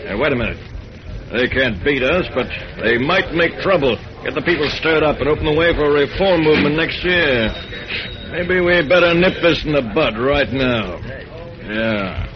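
Guy doing a crooked middle-aged american machine boss, slangy and cocky, a bit high, muffled old radio w busy room. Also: animated, normal speed, a crowd in the background, close to an everyday voice. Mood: calculating. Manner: slangy tough-guy talk, dismissive. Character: heavy-set middle-aged male political boss, crooked, cocky, calculating. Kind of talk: 1940s radio acting.

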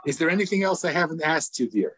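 An older man hosting an interview podcast, talking in a warm, effusive way with many restarts and fillers. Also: a little high, animated, fast, husky, dry recording. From lived in Israel fifty years. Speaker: podcast host. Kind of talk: casual interview chat.

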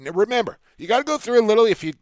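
A man's voice: high-pitched, animated, racing, a little mellow, no echo, clean studio sound. A man hosting a sports podcast, chatting casually and colloquially with enthusiasm for the games.

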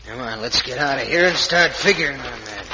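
An actor playing a surly, scheming Old West villain with an American accent in an old radio western. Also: male voice, medium pitch, animated, a little fast, noisy background, natural voice. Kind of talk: 1950s radio western acting. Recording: echoey, dull old radio recording.

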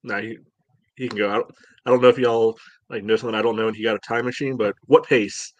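A man with a fairly low voice in a dry, close recording. He chats about sports as a panelist and delivers his opinions bluntly, with a wry edge. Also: steady tone, fast talker, no echo, lo-fi sound. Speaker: podcast panelist. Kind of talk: casual sports opinion chat.

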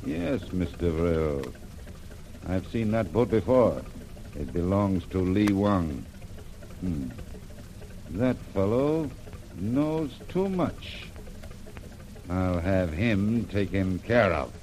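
Cool, menacing low-voiced ship's boss. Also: male voice, very animated, slow pace, long pauses, a little mellow, full character voice. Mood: grim, menacing. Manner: formal, controlled, sardonic. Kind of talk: 1930s radio serial acting. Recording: noisy vintage radio recording.

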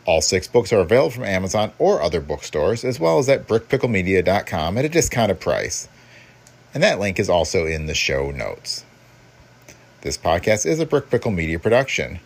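A man's voice: low-pitched, very animated, normal speed, gravelly. A man hosting a podcast, reading a scripted introduction. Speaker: podcast host.

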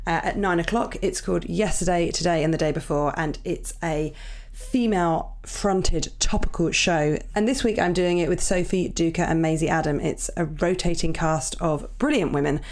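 An Irish woman hosting her podcast in a casual, chatty way, her voice croaky from early morning. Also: fairly low pitch, normal speed, darkish tone. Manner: chatty, apologetic, upbeat. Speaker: podcast host. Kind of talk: casual chat.

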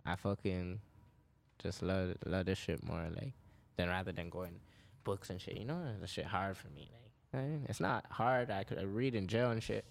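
A man's voice: low-pitched, normal speed, creaky. A young man from Canada with a Toronto accent touched by Jamaican speech, chatting as a rapper guest with a laid-back, filler-heavy way of talking. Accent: Toronto with Jamaican influence. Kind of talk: casual podcast chat.

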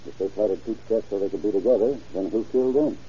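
A man playing a brisk, businesslike small-town sheriff in an old-time radio drama. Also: fairly low pitch, dark tone, lightly acted. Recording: muffled old radio recording with hiss.

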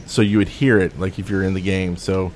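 A man's voice: deep voice, flat delivery, a little fast, a little mellow, a little hiss or hum. A man co-hosting a gaming podcast, chatting casually about what he thinks. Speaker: podcast co-host.

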